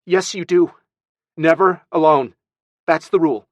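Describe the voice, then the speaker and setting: A stern, emotional young woman, voiced by a male audiobook narrator, scolds with a sharp, firm edge. The pitch is lifted and the sound is close and dry. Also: measured pace, dry recording, natural voice. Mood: stern scolding. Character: distraught, stern young aunt scolding a little boy.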